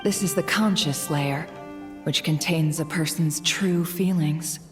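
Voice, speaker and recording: An actress playing a cool, self-assured, shrewd woman mystic in a game, composed, dry and deliberate. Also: deep voice, over music. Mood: intent, curious. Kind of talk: dramatic game voice acting. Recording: long reverberant echo.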